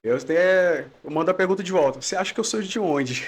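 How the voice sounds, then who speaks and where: A man's voice: high-pitched, lively intonation, a little fast. Laughing man with a Maranhão accent. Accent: Maranhão Brazilian Portuguese, mixed with São Paulo. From São Luís, Maranhão, Brazil. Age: young adult. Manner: friendly, laughs often, adds "né". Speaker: guest giving testimony. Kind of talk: relaxed podcast conversation.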